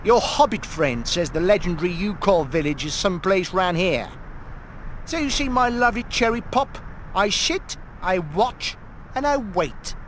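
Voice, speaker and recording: An actor playing a greedy, menacing villain in a video game, mocking and taunting in a lecherous way. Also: male voice, high-pitched, animated, normal speed, fairly bright tone, a little hiss or hum. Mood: gloating. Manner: taunting, mocking, with sneering pet names.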